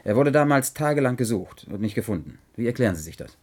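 A man's voice: mid-range voice, expressive, fast, natural voice. An actor playing an earnest, polite investigating chronicler in a German radio play, hesitant but persistent in his questions. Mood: preoccupied, inquiring.

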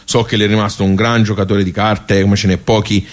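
A man's voice: fairly low pitch, little pitch movement, brisk, close to an everyday voice. A man playing a wry, nostalgic regular of a workers' club in a radio monologue, colloquial and rambling, with a Tuscan accent. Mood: wistful, rueful. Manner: rambling, colloquial, self-interrupting. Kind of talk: radio theatre monologue, conversational.

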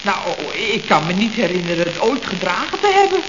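A woman's voice: mid-range voice, big pitch swings, a little fast, close to an everyday voice. A woman playing a chatty, scatterbrained secretary in a Dutch radio comedy from around 1970, full of questions. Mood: bemused. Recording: roomy, dull, hissy old radio recording.

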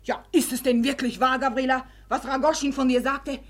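An actress playing a proud, scornful, reckless society beauty in a theatrical, commanding manner in a radio play. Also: female voice, sits high, flat delivery, a little fast. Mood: bitter, contemptuous. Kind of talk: German radio play acting.

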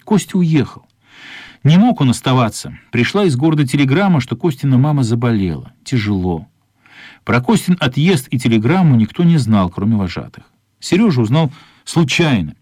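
A man narrating a children's radio play as a wry storyteller with a husky voice. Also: mid-range voice, sing-song, normal speed, a little mellow, dry recording, clean studio sound. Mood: storytelling.

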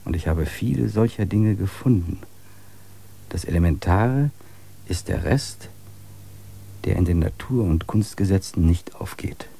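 A man narrating a radio play in a measured, contemplative way. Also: low-pitched, lively intonation, vocal fry, mellow, husky.

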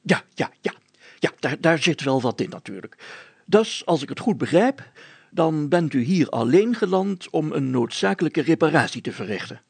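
A man voicing a short, fat, pompous village mayor, gruff, stammering and suspicious, congested with hay fever, for a storybook. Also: on the high side, very animated, moderate pace, dry recording, voice pushed up, exaggerated voice. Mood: hesitant. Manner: gruff, stammering, self-important.

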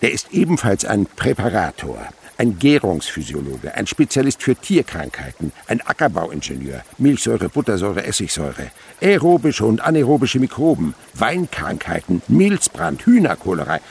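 An actor playing an excitable middle-aged scientist in a radio drama, proud and fatherly, muttering and repeating himself. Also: lowish voice, moderate pace, creaky, breathy edge, exaggerated voice. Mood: astonished, agitated.

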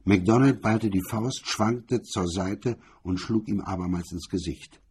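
A man's voice: on the low side, measured pace, darkish tone, slightly rough. A man narrating a scripted crime story as its reader.

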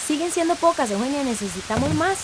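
A woman's voice: high voice, animated, quick pace, background noise. An actress playing a practical young village woman in a radio drama, speaking with urgency. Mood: urgent.

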